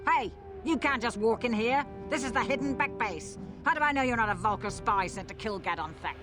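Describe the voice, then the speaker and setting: Casting: actress as protective gang doorkeeper, wary and firm, video game dialogue over music. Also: female voice, fairly high pitch, animated, a little fast, close to an everyday voice. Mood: suspicious, challenging. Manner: guarded, firm. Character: wary gang-base guard, protective and businesslike. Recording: music behind the voice.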